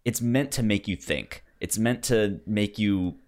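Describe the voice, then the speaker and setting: A man co-hosting a podcast in casual chat, halting and often repeating words as he goes. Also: fairly low pitch, darkish tone. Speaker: podcast co-host. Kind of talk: casual movie discussion chat.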